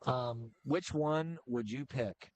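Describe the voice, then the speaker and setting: A middle-aged man doing casual play-by-play for a high school basketball game, chatty and joking, with filler pauses. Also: darkish tone, no echo, low-quality recording. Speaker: play-by-play commentator.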